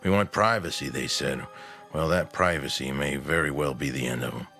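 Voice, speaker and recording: An actor playing a grave, practical small-town husband in a radio drama, speaking tersely and matter-of-factly in a gravelly voice. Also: male voice, rumbling low, moderate pace, bright, forceful tone, faint music behind, heavily acted. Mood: grim. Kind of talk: old-time radio drama acting.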